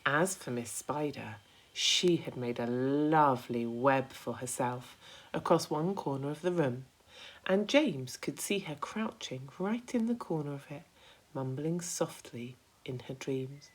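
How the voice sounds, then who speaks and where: A woman reading a children's story aloud with expressive narration, like a primary school teacher. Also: a bass voice, measured pace.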